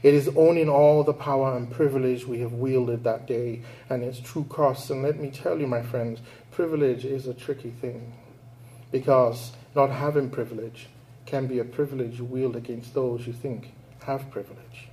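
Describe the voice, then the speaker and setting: A man's voice: mid-range voice, steady tone, normal speed, mellow, rough recording. Thoughtful man from Nigeria telling stories. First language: English and Igbo. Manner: thoughtful, storytelling delivery. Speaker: writer giving a public talk. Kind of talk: reading prose aloud, then audience questions.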